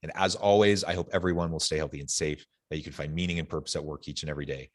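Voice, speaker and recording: A man's voice: rumbling low, steady tone, very fast, dry recording, studio quality. A man speaking conversationally as the host of a podcast interview.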